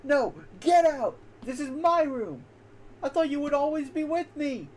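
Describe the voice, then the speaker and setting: A man's voice: extremely high pitch, animated, unhurried, a little mellow, pitched above the performer's own voice. A man voicing a frightened, defiant young boy from a video game, whiny and insistent. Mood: panicked shouting. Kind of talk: dramatic reading of video game dialogue. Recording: noticeable background noise.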